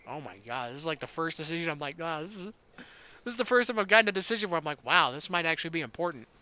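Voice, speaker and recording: A man's voice: fairly high pitch, lively intonation, rapid-fire, sharp tone, no room sound, poor audio. A man hosting a gaming video, reading a visual novel aloud expressively and dropping in casual asides.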